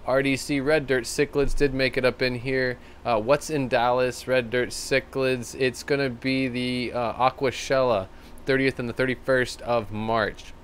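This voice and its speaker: A man with a slightly rough, tired voice hosting a live stream, rambling casually and thanking viewers by name. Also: mid-range voice, fairly bright tone, a little hiss or hum. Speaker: livestream host. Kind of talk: casual live-stream chat reading comments.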